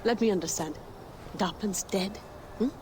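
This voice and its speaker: A woman's voice: medium pitch, moderate pace, background noise, close to an everyday voice. A woman playing a blunt rebel fighter. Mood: hostile. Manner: curt, cutting. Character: hard-edged female rebel fighter, blunt and impatient. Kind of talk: video game cutscene acting.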